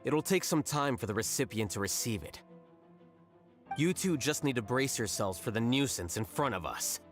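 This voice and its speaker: A man voicing a composed, cerebral young scholar, measured and coolly confident, in an anime video game. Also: medium pitch, animated, close to an everyday voice. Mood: calm confidence. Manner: measured, unruffled, precise. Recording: music behind the voice.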